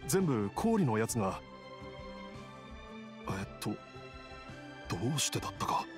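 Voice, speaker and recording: A weary, apologetic father in an anime game. His mid-pitched voice rises and falls, humble and hesitant, over faint music. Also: sing-song, a little slow. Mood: grateful, confused. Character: weary, apologetic middle-aged father recovering from illness.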